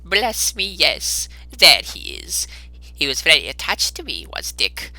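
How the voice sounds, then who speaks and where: An earnest old man from a storybook, as a man reads him. He is easily moved and speaks in forceful, fond exclamations. The tone is bright and animated, with music underneath. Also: on the high side, takes it slowly, gravelly, dry recording, exaggerated voice, rough recording. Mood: excited, nostalgic.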